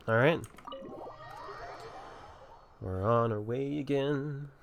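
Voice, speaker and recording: A man's voice: mid-range voice, creaky, a little hiss or hum. A man making casual, offhand comments as he plays through a video game. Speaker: game streamer.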